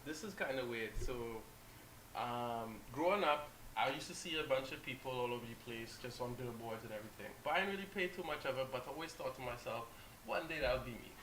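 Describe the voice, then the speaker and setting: A man, a model guest on a talk show, telling animated anecdotes and acting out what people said. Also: medium pitch, fairly quick, echoey room, slight background noise, poor audio. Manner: animated anecdotes, acts out quotes, restarts. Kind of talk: casual storytelling.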